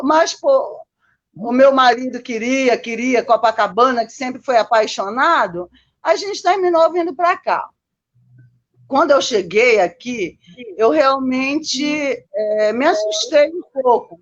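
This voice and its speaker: A woman's voice: high-pitched, lo-fi sound. A Brazilian woman chatting casually as a guest, telling personal stories and often checking for agreement. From born in Manaus, lived mostly in Minas Gerais, Brazil. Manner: chatty, constantly seeking agreement with tag questions. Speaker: guest, Copacabana resident.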